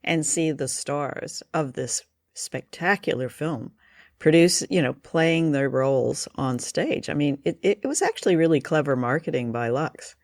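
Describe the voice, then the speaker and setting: A man with a fairly high, very creaky voice hosts a relaxed conversational discussion. He talks appreciatively and keenly, in a close, dry sound. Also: unhurried, no room sound. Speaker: podcast host leading discussion. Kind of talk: conversational film and radio discussion.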